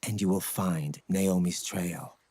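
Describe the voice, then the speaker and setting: An actor playing a stoic ninja scout mentor in a video game, speaking in a grave, measured, husky voice. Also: male voice, fairly even intonation, mellow, a little hiss or hum. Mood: solemn, instructive. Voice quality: low, husky. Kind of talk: dramatic video game acting.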